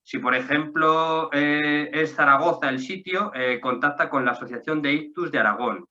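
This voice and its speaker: A man with a very bright, sharp tone hosts an online talk, listing resources in a relaxed, friendly way. He slows down to spell out names letter by letter. His voice comes through dull, lo-fi audio. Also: a little high. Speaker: association staff member hosting the talk. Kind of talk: casual conversational presentation.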